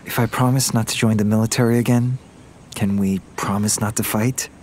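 An actor playing an earnest, playful young Korean heir in love, in a naturalistic audio romance. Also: male voice, lowish voice, steady tone, brisk, a little mellow, slight background noise, lightly acted. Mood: earnest, loving. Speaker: actor in a scripted podcast romance. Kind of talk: naturalistic audio drama acting.

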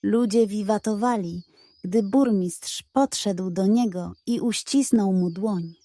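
A woman's voice: mid-range voice, takes it slowly, dark tone, no echo. A woman narrating a scripted bedtime story for children.

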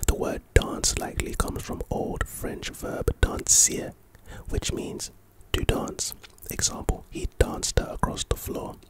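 A man speaks in a hushed, unvoiced whisper throughout, like a quiet ASMR lesson. He reads words and example sentences calmly, then slips in playful jokes and teasing asides. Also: extremely high pitch, low-quality recording. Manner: playful asides while reading. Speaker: ASMR host teaching vocabulary.

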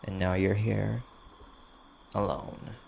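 A cool, sardonic male vampire bounty hunter, acted by a man for an audio roleplay, with a low voice steeped in heavy vocal fry. He bargains carefully in dry, measured phrases with pointed pauses. Crickets and a clear layer of night noise sit behind him. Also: level tone, a little mellow, heavily acted. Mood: cool, taunting. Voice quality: gravelly. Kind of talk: audio roleplay, slow dramatic monologue to the listener.

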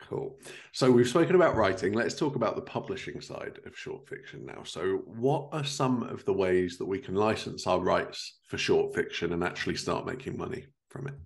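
A man's voice: low-pitched, moderate pace, creaky, hardly any pauses. A man in his thirties hosting a conversational podcast interview over a video call from his living room. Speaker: podcast host. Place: living room at home, indoors.

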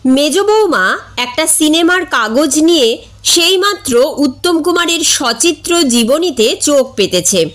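A high-pitched woman narrates a comic short story. She takes her time, reading expressively as a storyteller. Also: a little slow. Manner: expressive, acts out characters' dialogue.

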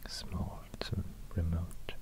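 A man with a Swedish accent giving soft-spoken, whispered ASMR in a hushed, halting way, close to a binaural microphone. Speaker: ASMR host.

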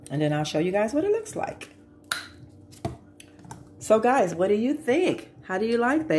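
A woman's voice: mid-range voice, darkish tone. A middle-aged American woman chatting informally to her viewers in a casual cook-along vlog, recorded in a home kitchen on a camera a short distance away. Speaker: vlog host. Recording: echoey room with background noise.

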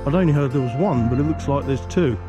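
A man's voice: mid-range voice, quick pace, over music. A man giving a casual exploring commentary, musing and putting questions to himself as he goes. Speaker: presenter of a canal exploring series. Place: outdoors by a canal bridge and road.

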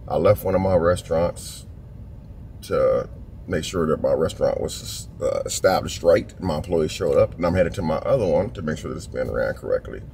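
A middle-aged man from Indiana, hosting a prank, talking in a playful, mock-earnest, smooth manner inside a parked car. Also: extremely low pitch, expressive, normal speed, darkish tone, a little hiss or hum. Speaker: prank show host. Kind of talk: in-character prank chat. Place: outdoors on a street, then inside a parked luxury car.

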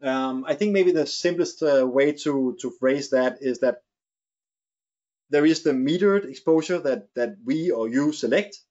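A man's voice: on the high side, a little slow, darkish tone. Danish-accented man, 40s, hesitant webinar expert; lo-fi studio audio. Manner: hesitant, restarts phrases. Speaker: camera company expert. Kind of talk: webinar discussion. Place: studio with large screen.

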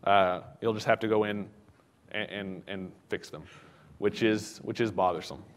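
A man giving a technical conference talk in a casual, halting way, with many fillers and restarts. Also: lowish voice, steady tone, in a large hall. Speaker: conference speaker. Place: conference hall.

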